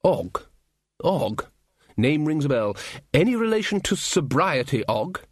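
Blustering senior wizard for a storybook male narrator performing: jovial, pompous, cheerfully tactless, wide swoops of pitch, dry close sound. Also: big pitch swings, measured pace, no room sound. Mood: hearty, surprised.

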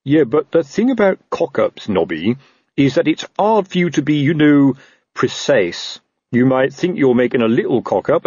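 A man's voice: medium pitch, darkish tone, breathy edge, no room sound, highs cut off, voice pushed up. Man as a miserable, moaning police sergeant. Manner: moaning, halting, rambling explanations. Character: anxious, miserable, long-suffering male police sergeant.

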